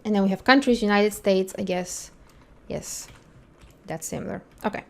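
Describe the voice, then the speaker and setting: A woman's voice: mid-range voice, moderate pace, vocal fry. A woman whose first language is Bulgarian, an accessibility instructor thinking aloud in a candid, blunt way while she tests a website for a screen-recorded tutorial.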